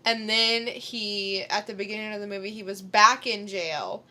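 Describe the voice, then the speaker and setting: A woman's voice: medium pitch, fairly even intonation, normal speed, bright, forceful tone. A woman co-hosting a podcast, chatting casually as she reviews films. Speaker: podcast co-host. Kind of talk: casual film review chat.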